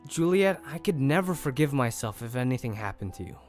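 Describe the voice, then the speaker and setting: Male, medium-pitched, actor as friendly caring cop, audio crime drama. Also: very animated, brisk, faint music behind, lightly acted. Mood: tender.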